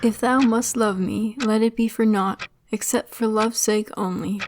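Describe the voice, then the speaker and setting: A woman giving a dramatic reading of classic love poetry in measured phrases. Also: fairly high pitch, fairly even intonation, darkish tone, a little hiss or hum. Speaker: poetry reader.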